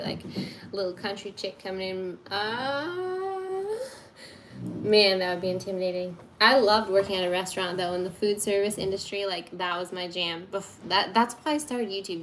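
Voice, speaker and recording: A woman's voice: mid-range voice, background noise. A woman in her thirties chatting and giggling while she bakes at her kitchen table, talking into a phone camera during a livestream. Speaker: livestream baking host. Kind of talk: casual chat while baking. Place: home kitchen table, indoors.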